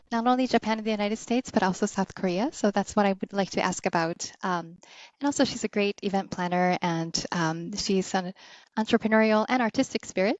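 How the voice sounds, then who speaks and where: A woman's voice: medium pitch, no echo. A woman hosting a podcast, introducing her guest and asking questions in a polite, encouraging way. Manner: polite, encouraging, frequent soft backchannels. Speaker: podcast host, interviewer. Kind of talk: casual interview hosting. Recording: dry, dull lo-fi sound.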